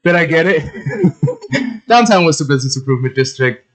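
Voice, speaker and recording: A man's voice: on the high side, big pitch swings, normal speed. Guy hosting a chatty interview show, rambles and jokes, slangy, super effusive.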